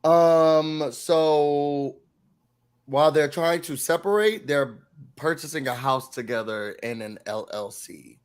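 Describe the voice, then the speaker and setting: Slightly hoarse, animated man recapping TV. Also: fairly high pitch, slow pace. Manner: animated storytelling, acts out quotes. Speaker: recap show host. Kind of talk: casual recap chat.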